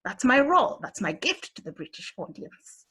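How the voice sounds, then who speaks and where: A woman's voice: mid-range voice, sing-song, moderate pace, fairly bright tone, husky. A woman with a Kenyan accent giving an earnest, encouraging motivational talk to an online audience. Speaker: motivational speaker.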